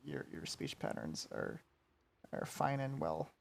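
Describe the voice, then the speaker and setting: Gravelly man, halting speech. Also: extremely low pitch, wildly swooping pitch, a little hiss or hum. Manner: halting, stumbling over words. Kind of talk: casual chat.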